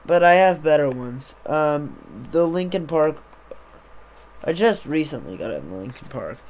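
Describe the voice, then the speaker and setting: Rambling young man, slightly hoarse. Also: a little high, very animated, a little slow, darkish tone, a little hiss or hum. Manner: rambling, meandering, many fillers. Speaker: streamer. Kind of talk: casual live-stream chat. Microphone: desktop USB mic on a boom arm.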